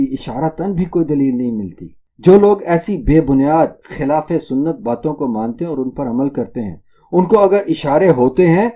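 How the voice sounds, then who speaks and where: A man's voice: medium pitch, dark tone. A man delivering an Islamic religious lecture in a didactic, emphatic way, repeating points for stress. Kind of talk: Islamic sermon lecture addressed to listeners.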